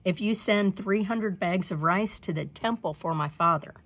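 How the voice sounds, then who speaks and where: A woman's voice: medium pitch, moderate pace, a bit bright, natural voice. A woman voicing a brave, devoted young daughter from a storybook in an earnest manner. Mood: resolute.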